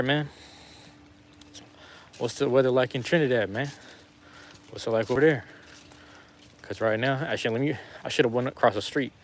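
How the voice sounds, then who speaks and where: A man livestreaming outdoors in light rain, chatting casually with viewers on his phone in a slangy, rambling way. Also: medium pitch. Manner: laid-back, slangy, repeats himself. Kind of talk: casual rambling live chat. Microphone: phone camera.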